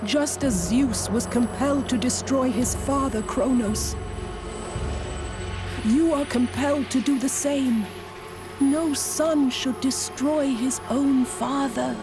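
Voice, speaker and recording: A noble goddess, high voice, slow grave and earnest, music behind her. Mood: solemn warning. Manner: earnest, grave, deliberate phrasing. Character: noble, solemn goddess pleading to defend Olympus. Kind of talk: dramatic video game acting.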